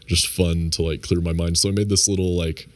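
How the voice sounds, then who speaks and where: A man with a very deep voice, a game developer guest talking in a relaxed, hesitant way on a call. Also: fairly quick, dry recording. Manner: relaxed, hesitant, laid-back. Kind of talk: casual interview chat. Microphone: remote call.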